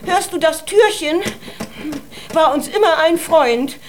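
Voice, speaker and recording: A woman's voice: very high voice, expressive, slow pace, slight background noise, full character voice. An actress playing a poor, sentimental mother made queen, plaintive and fussing, in a children's radio play. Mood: wistful.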